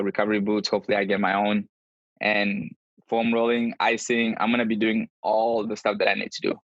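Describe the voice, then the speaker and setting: A man's voice: on the low side, no room sound. A man talking casually and earnestly as an interview guest, telling his own experiences over a video call. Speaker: podcast guest, endurance runner. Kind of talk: casual conversational interview answers. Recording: dull lo-fi video call audio.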